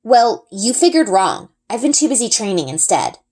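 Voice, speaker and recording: A woman's voice: medium pitch, big pitch swings, moderate pace, a little mellow, pitched above the performer's own voice. A woman voicing a determined young heroine in a children's storybook, speaking emphatically. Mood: defiant. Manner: defiant, emphatic.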